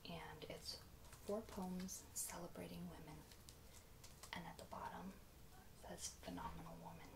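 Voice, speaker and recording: Slow, whispering ASMR woman. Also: lowish voice, noisy background. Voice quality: soft, breathy whisper. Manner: gentle, hesitant, informal. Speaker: ASMR video creator.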